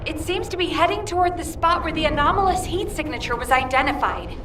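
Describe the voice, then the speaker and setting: An actress playing an efficient young operations officer in a video game, reporting formally and crisply. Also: female voice, sits high, lively intonation, fairly quick. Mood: alert, businesslike. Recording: roomy, distant, noisy ambience.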